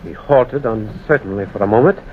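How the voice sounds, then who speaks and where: An American actor playing a sober, skeptical railroad man in an old-time radio ghost drama, measured, grave and dramatic. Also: fairly low pitch, narrow, tinny audio, natural voice. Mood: somber, ominous. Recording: thin, noisy vintage radio recording.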